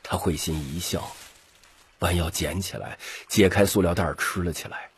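一名男性有声书播讲者，以戏剧化的方式照稿讲述故事。. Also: male voice, low voice, moderate pace, a little hiss or hum. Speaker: audiobook narrator. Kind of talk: scripted dramatic story narration.

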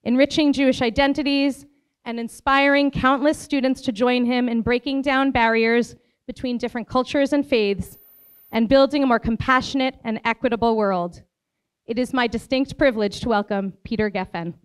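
A woman's voice: on the high side, fairly even intonation, normal speed, smooth, low-quality recording. Woman reading a formal introduction. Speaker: event introducer. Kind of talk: read introduction. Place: school hall.

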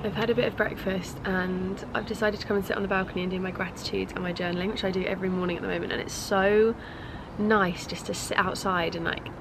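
A woman's voice: medium pitch, steady tone, fast, background noise. A young British woman with a congested voice chatting casually and informally to camera in a rambling way. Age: young adult. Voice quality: stuffy, congested. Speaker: lifestyle vlogger. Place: outdoor balcony.

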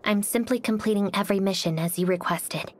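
A woman voicing a cold, reserved, melancholy young swordswoman for a video game, speaking softly and breathily in a quiet, detached, formal manner. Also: medium pitch, fairly quick, noisy background, lightly acted. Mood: cool, detached. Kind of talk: scripted game character voice lines.

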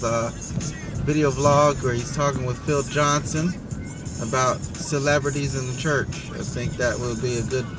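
A young man driving and talking into his phone, preaching earnestly about faith with pauses and restarts. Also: mid-range voice. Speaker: vlogger. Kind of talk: casual preaching while driving. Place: inside a moving car. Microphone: phone held or mounted on the dashboard. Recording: phone recording with loud music over it. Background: loud music playing in the car.

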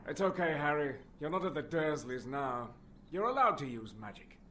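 A man's voice: on the high side, animated, normal speed, a bit bright, a little hiss or hum. A male actor delivering scripted cutscene lines in a video game, instructive and reassuring. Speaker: game character voices. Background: game score.

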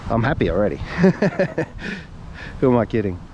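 A man's voice: mid-range voice, very animated, noisy background. Australian man muttering commentary while fishing. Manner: chatty, self-talking, quick to laugh. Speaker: fishing vlogger. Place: outdoors on a reservoir bank. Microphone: head- or chest-mounted action camera.

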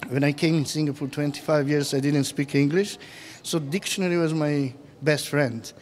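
A man in his fifties from former Yugoslavia, speaking as an artist in an on-camera interview in a gallery room, halting and full of fillers, into a handheld mic. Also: on the high side, fairly even intonation, darkish tone. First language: Serbian. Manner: halting, many fillers and restarts. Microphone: handheld mic held close.

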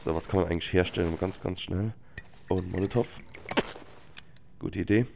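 A man's voice: low-pitched, fairly even intonation, fairly quick, vocal fry, noisy background. A young man sick with a cold, giving casual, slangy gaming commentary with muttering and swearing into a headset mic. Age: young adult. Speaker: let's player hosting a gameplay series. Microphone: headset microphone. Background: game music and gunfire.

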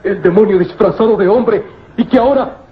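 A man's voice: high-pitched, a little fast, natural voice. Fawning, trembling desert servant played by a man. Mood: awed, fearful. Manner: imploring, stammering, repetitive, florid oaths. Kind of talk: old radio serial acting. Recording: dull old radio serial recording.

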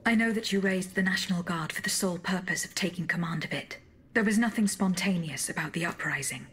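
Husky, composed female investigator. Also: fairly low pitch, a bit bright. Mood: stern, confronting. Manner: measured, formal, blunt. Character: composed, accusing female agent confronting a general. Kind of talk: video game voice acting.

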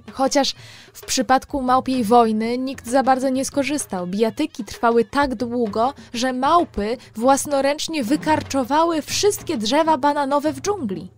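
Female children's storyteller, slightly high voice, unhurried, playful, addressing kids directly, faint music underneath. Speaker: host and storyteller of a children's programme.

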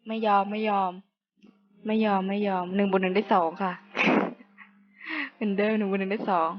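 A woman's voice: medium pitch, normal speed. A young woman giving casual, playful let's-play commentary, slangy and talking to herself while reading game text aloud. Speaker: gamer playing through a horror visual novel. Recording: dull lo-fi mic with loud music. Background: loud background music.

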